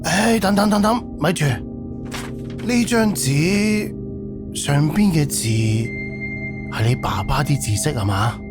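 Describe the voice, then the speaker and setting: A man's voice: fairly high pitch, animated, slow pace, long pauses. A man acting a grieving, hospitable middle-aged amusement park director in a Cantonese audio drama. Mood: hesitant, grave. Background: background music.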